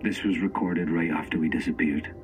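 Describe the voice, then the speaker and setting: A man playing an eager, inquisitive space mission officer in an audio drama, measured in his delivery. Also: low voice, steady tone, music in the background, lightly acted, low-quality recording. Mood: intent. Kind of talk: scripted audio drama acting.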